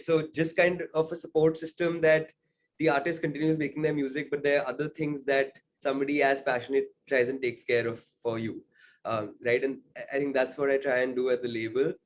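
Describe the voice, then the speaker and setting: A young man from India talks through his choices in an interview, and his voice sits at a middle pitch. He pauses with "uh" and "um" and keeps checking in with "right?". The delivery is reflective and unhurried. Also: steady tone, slight background noise. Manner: thoughtful, many fillers and "right?" tags. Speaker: singer-songwriter guest.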